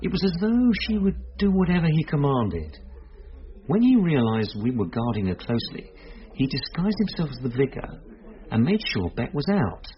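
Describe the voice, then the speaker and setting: An actor playing a calm, reassuring male police inspector who explains things in a measured way, in radio drama style. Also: a little high. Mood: grave, explanatory. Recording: slightly dull radio sound.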